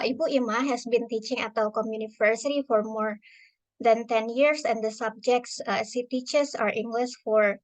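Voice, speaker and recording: A woman with a somewhat high voice introduces a guest speaker as moderator. She reads formally, with a few hesitant fillers along the way. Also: rough recording.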